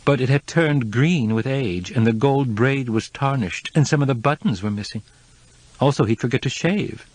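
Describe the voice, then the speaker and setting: A man's voice: medium pitch, normal speed, darkish tone, no room sound, pitched above the performer's own voice, lightly acted. A man voicing a gossipy woman neighbor who talks in a chatty, confiding way.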